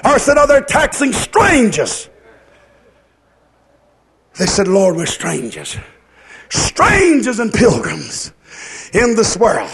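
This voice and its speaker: A male preacher with a very high-pitched voice delivers a fervent revivalist sermon. He declaims in a sing-song cadence, circling back on phrases for emphasis and pressing rhetorical questions on his listeners. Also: huge pitch swings, takes it slowly, gravelly, pauses often, a bit bright.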